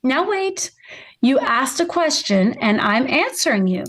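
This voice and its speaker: Woman playing middle-aged radio mom, high voice, gently insistent, animated, practical. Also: unhurried, full character voice. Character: patient, practical middle-aged housewife and mother. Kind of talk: vintage radio sitcom acting.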